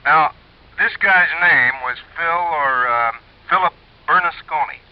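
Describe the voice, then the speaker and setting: A man's voice: fairly high pitch, expressive, a little slow. An American man playing a fussy, skeptical insurance office man in a 1950s radio drama, protesting and hesitant. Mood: matter-of-fact, doubtful. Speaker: supporting actor. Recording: muffled, faintly noisy vintage radio recording.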